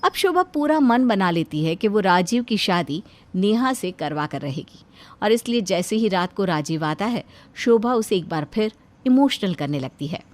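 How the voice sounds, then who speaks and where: A woman narrating an animated moral story as a scripted storyteller. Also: mid-range voice, moderate pace.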